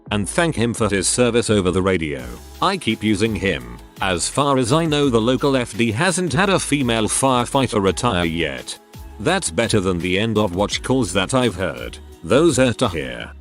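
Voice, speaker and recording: A man reading online stories aloud as scripted narration. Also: fairly low pitch.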